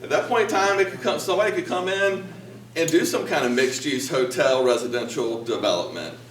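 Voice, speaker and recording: A man presents a project at a public meeting and answers a question calmly, laying out his reasoning. His voice is a little higher than most men's. He sounds set back from the mic in an echoey room. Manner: explanatory, measured. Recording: echoey room, voice picked up from a distance.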